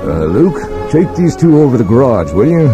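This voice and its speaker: An actor playing a practical, plain-spoken farmer and guardian giving brusque orders in an audio storybook. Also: male voice, mid-range voice, sing-song, moderate pace, music in the background, close to an everyday voice. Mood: brisk. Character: gruff, practical older male moisture farmer guardian.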